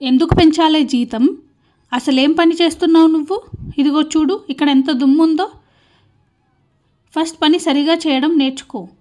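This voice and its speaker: A high-voiced, middle-aged cartoon mother-in-law, acted out by a woman. She is stingy and bossy, and she talks in a scolding, complaining way. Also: fairly even intonation, normal speed, pauses often, darkish tone, faint music behind, close to an everyday voice.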